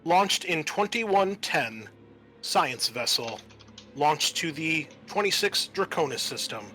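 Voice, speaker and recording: A man's voice: a little high, animated, slow, pushed and bright, soft background music, voice pushed up, heavily acted. A man voicing a ship's computer that issues terse, impersonal status reports in a clipped, formal, matter-of-fact way for a tabletop game. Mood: impersonal, reporting.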